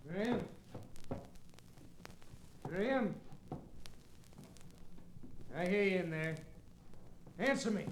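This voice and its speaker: A man's voice: high-pitched, animated, very slow, pauses often, full character voice. A man playing a menacing, jealous jilted gunman in a 1940s radio thriller, on an echoey, noisy recording. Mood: menacing, rising.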